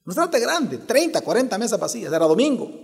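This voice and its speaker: A man's voice: high voice, big pitch swings, fast, gravelly, in a large hall. A man with a Salvadoran Spanish accent, preaching as a pastor, telling a story and then building to emphatic points while quizzing his congregation. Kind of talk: sermon with personal story.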